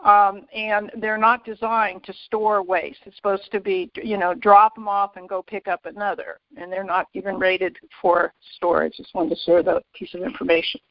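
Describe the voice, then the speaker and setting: A woman calling in with brisk, insistent technical comments. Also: mid-range voice, level tone, no room sound. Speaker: caller. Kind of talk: phone-in comment on a conference call. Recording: dry, muffled phone line.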